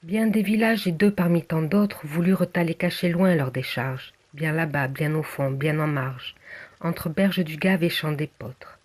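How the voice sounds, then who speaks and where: Measured reciter of a spoken-word poem. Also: mid-range voice. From southwest France. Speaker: poem reader.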